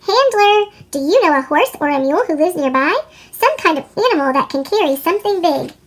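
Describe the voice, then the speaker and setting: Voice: very high voice, animated, brisk, fairly bright tone. A storyteller voicing a sweet, earnest female dog in a children's storybook, with a sped-up chipmunk effect. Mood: eager.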